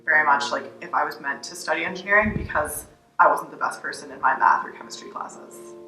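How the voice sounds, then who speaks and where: A young man, an engineering student, talking about his schooling in a relaxed, conversational way. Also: a little high, fairly quick. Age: young adult. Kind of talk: casual interview answers. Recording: roomy, from a distance, music behind.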